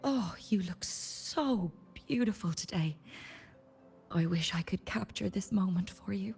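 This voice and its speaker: Warm, creaky-voiced motherly game character. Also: medium pitch, animated, measured pace, a little hiss or hum. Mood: admiring. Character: warm, caring motherly woman, gently reassuring. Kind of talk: video game voice acting.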